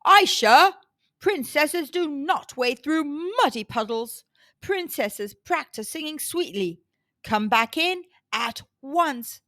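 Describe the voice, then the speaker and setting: A bossy storybook king and father acted by a woman, booming and emphatic. The pitch is high for the part and swings widely. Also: expressive, slow pace, a bit bright, no echo, studio quality, heavily acted. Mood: scolding. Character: stern king father, bossy and easily alarmed.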